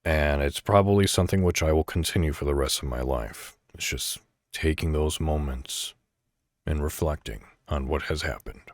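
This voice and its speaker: A man hosting a podcast, speaking in a reflective solo monologue straight to the listener with deliberate, emphatic phrasing. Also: rumbling low, dry recording, clean studio sound. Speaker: podcast host.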